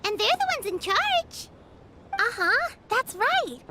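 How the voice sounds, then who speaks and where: A woman voicing a tiny, eager, childlike companion girl in an anime video game, with a squeaky voice and music behind her. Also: big pitch swings, slow pace, very noisy, exaggerated voice. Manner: eager, exclamatory.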